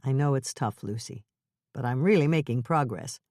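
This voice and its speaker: A woman voicing a young father in an audiobook, calm and coaxing. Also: very deep voice, normal speed, no echo, studio quality. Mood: reassuring. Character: easygoing young father, man, upbeat and reassuring. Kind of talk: audiobook character voice.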